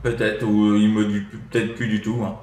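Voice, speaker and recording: A man's voice: fairly low pitch, little pitch movement, brisk, echoey room, heavy background noise. A man chatting casually as a radio operator, hesitant and repetitive, often tagging his phrases with small filler words.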